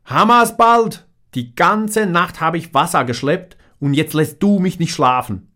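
Audiobook character, man playing older male neighbour, gruff, irritable, blunt, swinging pitch. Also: expressive, measured pace, bright, forceful tone. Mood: furious.

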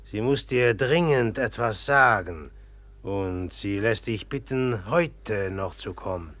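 A resentful, jealous rival in a classic radio drama. His deep voice is recorded very dry. He is blunt and needling, with a mocking bite. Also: slow, a bit bright, no room sound, heavily acted. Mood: curt, urgent. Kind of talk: German radio play acting.

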